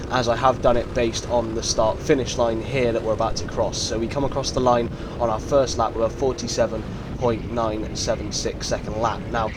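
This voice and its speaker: Give me a young guy with a croaky, hoarse voice, talking through his race laps in careful detail. His pitch sits mid-range, and loud engine noise from the karts runs under everything he says. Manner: earnest, detailed play-by-play of his own driving. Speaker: karting vlogger. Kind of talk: voice-over commentary on race footage. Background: kart engines and vehicle noise.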